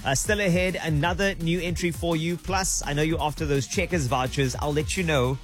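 A man's voice: fairly high pitch, moderate pace. A man hosting a radio countdown show, chatty and speaking straight to his listeners. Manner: chatty, addresses listeners directly. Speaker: radio host. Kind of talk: upbeat radio countdown presenting. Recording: music bed behind voice.

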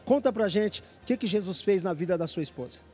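A man's voice: sits high, lively intonation, brisk, warm tone, breathy edge, soft background music. A man hosting an evangelical radio program from a church headquarters, preaching with fervent urgency.

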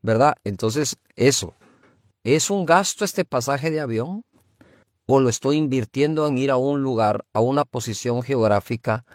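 Pastor host with a dry, close sound. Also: male voice, mid-range voice, a little slow, no echo. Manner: affirming, interjecting with short agreements. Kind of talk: conversational interview.

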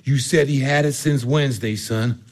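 An actor playing a worried, slow-witted middle-aged father in a stage drama. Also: medium pitch, fairly even intonation, a little mellow, natural voice. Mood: uneasy, puzzled.

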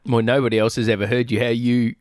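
A man's voice: mid-range voice, fast talker, no echo, clean studio sound. A man co-hosting a radio show, teasing his partner in casual banter. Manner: teasing, lively. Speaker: radio co-host.

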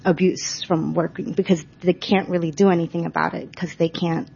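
A woman's voice: on the low side, steady tone, fairly quick, creaky, a little mellow, slightly rough, muffled recording. A woman in the audience who works in restaurants, asking a question in a hesitant, rambling way with many fillers. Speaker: audience member, restaurant worker.

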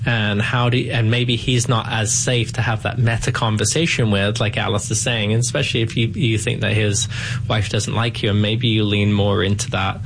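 A man with a low voice offering measured, thoughtful advice on a call-in radio show. Clear noise runs behind him in the broadcast audio. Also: steady tone, a bit bright, poor audio. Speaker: radio co-host.

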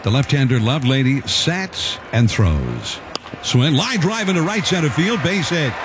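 Lively male baseball play-by-play announcer. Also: a little high, measured pace. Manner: relaxed, conversational, with fillers and self-corrections. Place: broadcast booth at a ballpark. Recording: ballpark crowd and noise behind.

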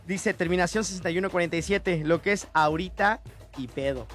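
A man's voice: high-pitched, fairly quick, a bit bright. A Mexican radio host jokes his way through morning banter, laughing often as he reads listener messages on air. Faint music plays under his voice in the studio.

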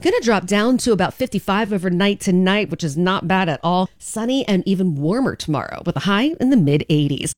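A woman's voice: mid-range voice, expressive, fairly quick, few pauses, darkish tone, dry recording, studio quality. A woman radio host chatting casually through the weather with a slightly stuffy nose.

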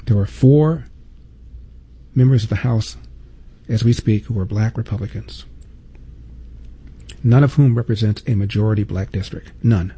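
A man's voice: lowish voice, moderate pace, long pauses, dark tone, slightly rough. A man hosting a talk show, delivering an emphatic opinion monologue full of repeated points and rhetorical questions.

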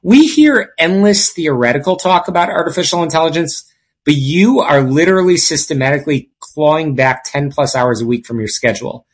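Upbeat husky-voiced male host. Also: medium pitch. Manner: upbeat, reads polished introductions. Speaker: show host. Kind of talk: interview hosting.

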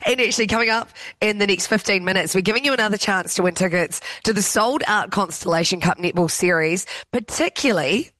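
A woman, co-hosting a radio show in casual banter, her voice going hoarse, laughing mid-sentence. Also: mid-range voice, a little fast, hardly any pauses, a bit bright, dry recording, poor audio. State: losing her voice. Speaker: radio host.

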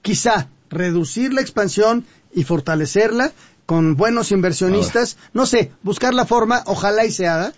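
A man's voice: sits high, moderate pace, no echo, highs cut off. A man hosting a sports radio show, talking casually and restarting his sentences often. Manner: conversational, hesitant restarts.

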